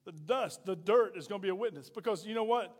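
A man's voice: sits high, quick pace. A middle-aged man preaching a sermon as pastor, emphatic and folksy, with repeated rhetorical questions. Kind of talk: sermon to a congregation. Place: church sanctuary. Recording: reverberant hall sound.

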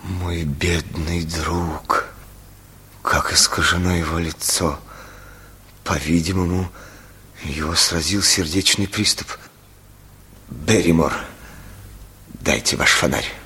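An actor playing an agitated, horrified country doctor in a radio play, with urgent, dramatic delivery. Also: male voice, sits low, steady tone, slow pace, long pauses, sharp tone, full character voice. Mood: grieving, sombre. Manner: urgent questioning, dramatic exclamations.

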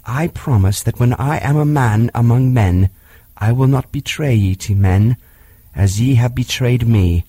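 A man's voice: mid-range voice, unhurried, dark tone, slightly rough, no echo. A man voicing a fierce, defiant boy raised by wolves, speaking in proud, commanding archaic phrases.

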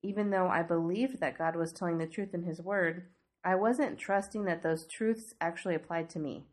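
A congested woman with a snuffly nose and a fairly low voice, teaching on her devotional podcast. She talks earnestly and conversationally, asking her listeners questions as she goes. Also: fairly even intonation, normal speed, darkish tone. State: congested from a cold. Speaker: podcast host.

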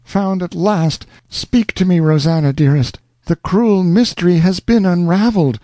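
A man's voice: fairly high pitch, moderate pace, mellow, no echo, pitched above the performer's own voice, natural voice. A man reading a devoted young lover in an audiobook, fervent and exclaiming in a somewhat raised voice. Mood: ardent.

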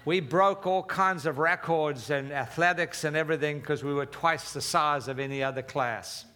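A man's voice: on the high side, moderate pace, bright, forceful tone. A man in his seventies, born in South Africa, preaching a conversational sermon with fillers and some slips. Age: 70s. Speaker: pastor.

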